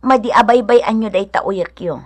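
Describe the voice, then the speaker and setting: A woman's voice: mid-range voice, normal speed, crowd noise, natural voice. A woman playing a kind, generous village wife with an Ilocano accent in a radio drama, speaking with earnest care. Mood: caring, urging. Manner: earnest, caring. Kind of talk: Filipino radio drama acting. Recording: radio drama with music underneath.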